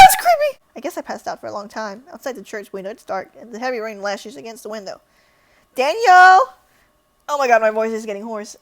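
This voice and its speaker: Young woman, lively game streamer, animated and dramatic, higher voice. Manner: animated, dramatic, shrieking reactions. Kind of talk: reading a story game aloud with reactions.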